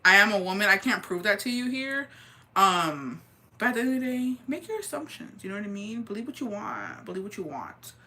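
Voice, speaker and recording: A deep-voiced woman from Texas chatting casually and gossiping on a livestream, slangy and loose, dropping in Spanish phrases. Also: animated, brisk, pushed and bright. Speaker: livestreamer reading viewer comments.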